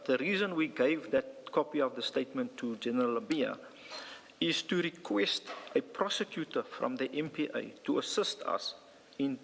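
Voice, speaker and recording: Male South African police witness, formal and somewhat halting testimony, reverberant hearing-room sound. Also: medium pitch, gravelly, a bit bright. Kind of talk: formal testimony, partly reading a statement aloud. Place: large indoor hearing room.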